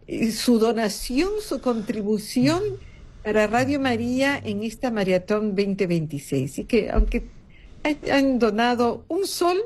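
A somewhat high female voice. She talks earnestly on air, laying out each point with care. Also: animated, unhurried, darkish tone. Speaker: radio co-host. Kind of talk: radio fundraising talk.

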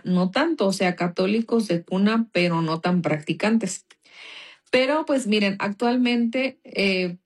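A woman's voice: mid-range voice, darkish tone. A woman from Mexico with a Mexican accent gives an informal personal testimony in a conversational, earnest, explanatory manner. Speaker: speaker giving a religious testimony.

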